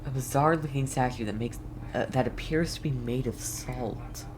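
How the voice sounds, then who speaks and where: A man giving casual, excitable and reactive commentary as he plays a video game. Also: medium pitch, moderate pace, background noise. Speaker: game streamer.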